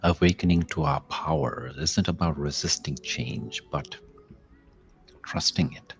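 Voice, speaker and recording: Very deep, slow-paced man guiding meditation. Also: gravelly, soft background music. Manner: measured, contemplative, with long pauses and softly trailing phrases. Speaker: guided meditation host. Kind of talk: slow guided meditation, spoken-word reflection with verse.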